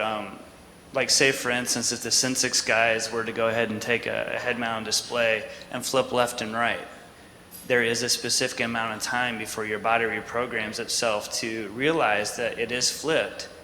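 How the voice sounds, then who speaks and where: A man's voice: medium pitch, pushed and bright. A man on a conference panel answering questions, explaining with everyday examples and many "like"s. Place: large conference hall. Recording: echoey hall with faint noise.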